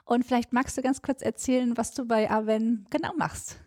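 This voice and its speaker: A woman's voice: a little high, fairly even intonation, moderate pace, a little mellow, dry recording. Upbeat woman hosting a podcast, dry sound. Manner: upbeat, chatty, leads questions. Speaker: podcast host. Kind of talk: podcast conversation.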